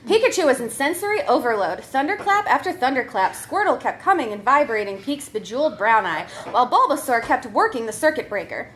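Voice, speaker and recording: A woman's voice: high-pitched, big pitch swings, a little fast, hardly any pauses, fairly bright tone, slight background noise. A woman reading a comic story aloud as a performer, giggly and prone to breaking up as she goes. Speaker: comedy troupe member reading a story.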